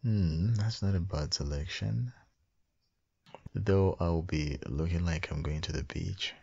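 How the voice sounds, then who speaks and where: A man speaks very low and slowly in a tender boyfriend roleplay. His voice is husky and murmured, like a doting partner talking close and softly. The recording is slightly dull. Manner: tender, doting, slow, murmured with soft hums. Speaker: ASMR roleplay performer playing a loving husband and father. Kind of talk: boyfriend ASMR roleplay, soft intimate acting.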